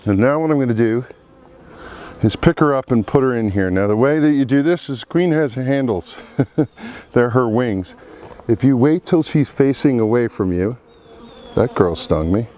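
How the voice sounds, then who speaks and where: A man with a cold and a froggy, congested voice, talking slowly through a beehive inspection outdoors among buzzing bees. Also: mid-range voice, expressive, dark tone. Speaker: beekeeper. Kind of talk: casual hive inspection commentary. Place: outdoor apiary.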